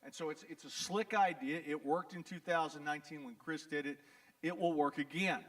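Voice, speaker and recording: Texan lawyer at a town hall, plain-spoken and wisecracking, fairly high voice, mic picks him up from afar. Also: male voice, fairly even intonation, a little fast, fairly bright tone, far from the mic. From Texas. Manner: folksy, blunt, joking, repeats "the bottom line is". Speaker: attorney. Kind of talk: town hall address to residents. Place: event venue in front of an audience.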